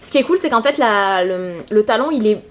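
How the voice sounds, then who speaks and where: A woman's voice: medium pitch, lively intonation, a little fast. A woman vlogger with a stuffy, cold-congested voice, chatting casually about her purchases in a rambling way full of hesitations. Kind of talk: casual shopping haul chat.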